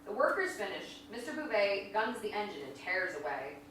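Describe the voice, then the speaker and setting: A woman's voice: mid-range voice, very animated, moderate pace. A woman reading scripted stage directions as narrator of a live staged reading. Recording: distant, very echoey room, faint noise.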